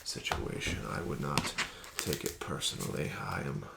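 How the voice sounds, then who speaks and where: A man doing an ASMR roleplay as a casino card dealer, talking slowly and softly with smug, teasing patter that slips into whispers. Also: very deep voice, very animated, creaky. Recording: audible background noise.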